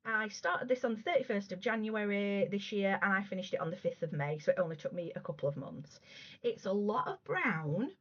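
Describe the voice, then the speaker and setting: A woman in her thirties, a crafting vlogger, chatting casually and gushing about her work, her voice going a little hoarse. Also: mid-range voice, brisk. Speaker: cross stitch vlogger. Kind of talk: casual show-and-tell chat. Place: room at home. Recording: slightly dull lo-fi home recording.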